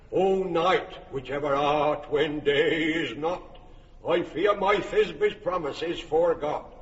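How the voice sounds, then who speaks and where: An actor playing a bombastic amateur player who hams up a lovelorn hero in melodramatic, declamatory comic verse. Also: male voice, sits high, a little mellow, heavily acted. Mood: grandly lovelorn. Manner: declamatory, melodramatic, drawn-out exclamations. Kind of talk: classical comic stage verse acting. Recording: muffled, echoey hall recording.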